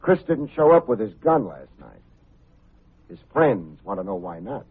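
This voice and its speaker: An actor playing a coolly unflappable, wisecracking private investigator in an old radio detective drama, with dry, clipped noir phrasing. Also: male voice, lowish voice, very animated, a little slow, slightly rough, exaggerated voice. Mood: probing, dry. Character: wry, hard-boiled male private investigator, coolly unflappable. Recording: muffled phone-like vintage radio recording.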